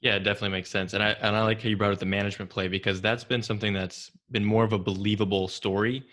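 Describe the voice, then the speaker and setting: A man hosting a business interview in a measured, conversational way. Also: on the low side, flat delivery, fairly bright tone. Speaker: interview host, real estate investor.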